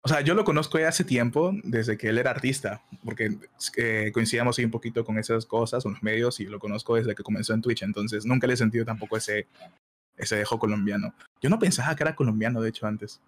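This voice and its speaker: A man's voice: medium pitch, quick pace, a little hiss or hum. Laid-back young Peruvian man. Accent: Peruvian Spanish with Mexican touches. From Peru. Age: 20s. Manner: laid-back, laughs often, uses slang. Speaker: guest, illustrator. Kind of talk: casual chat on a stream.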